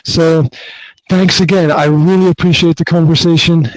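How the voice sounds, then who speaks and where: A man's voice: fairly high pitch, flat delivery, normal speed, no room sound, poor audio. A man from the north of Scotland, hosting a casual podcast interview over an online call, friendly and often repeating himself. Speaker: podcast host.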